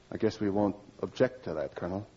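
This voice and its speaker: Low-voiced, exhausted army captain. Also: male voice, warm tone, husky. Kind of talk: 1940s radio drama acting. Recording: muffled old radio recording.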